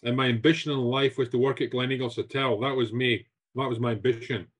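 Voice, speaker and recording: A man's voice: mid-range voice, quick pace, breathy edge. A man in his sixties with a Scottish accent, animatedly telling stories of his career as a guest on a video call from his home. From Scotland. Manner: animated, repeats words, gestures with hands. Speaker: guest chef. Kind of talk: storytelling interview answers. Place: home room with shelves.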